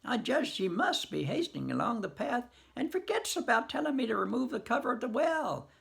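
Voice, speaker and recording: Grieving old farmer read by a man, quavering high wail, gravelly edge. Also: sing-song, brisk, fairly bright tone, heavily acted. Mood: bewildered. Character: grieving old male farmer, bewildered and mournful.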